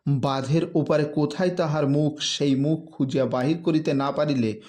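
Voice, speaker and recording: A man narrating a literary story in an expressive, dramatic way with formal diction. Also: a little high, normal speed.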